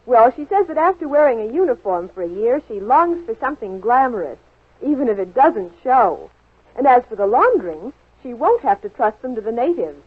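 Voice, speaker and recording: Higher-pitched American woman in vintage radio ad. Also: big pitch swings, a little fast, husky, no room sound. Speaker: commercial spokeswoman. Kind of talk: 1940s scripted radio ad dialogue. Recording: muffled, dry 1940s radio broadcast.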